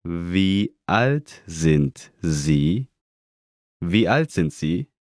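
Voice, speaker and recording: A deep-voiced man gives slow, deliberate pronunciation drills and repeats each word twice. His delivery is very unhurried, and the recording is dry and close. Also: lively intonation, dry recording. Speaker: German pronunciation speaker.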